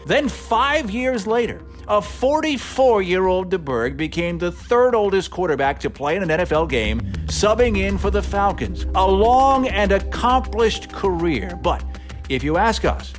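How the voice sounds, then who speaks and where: A man delivering scripted, humorous documentary narration with playful, mock-dramatic emphasis, like a podcast host. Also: sits high. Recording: music bed under narration. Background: music.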